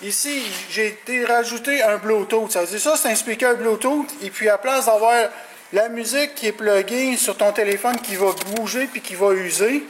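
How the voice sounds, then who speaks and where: A man with a rather high voice and a Quebec French accent talks through an installation informally. He explains things in a colloquial, hands-on way, over faint background noise. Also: moderate pace. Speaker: CB radio installer presenting his work. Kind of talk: casual product walkthrough.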